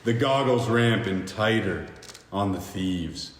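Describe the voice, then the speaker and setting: A man hosting a podcast, reading screenplay stage directions aloud in a halting, jokey amateur way with stumbles. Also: fairly low pitch, expressive. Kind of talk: amateur screenplay table read. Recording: echoey reverberant room with faint hiss.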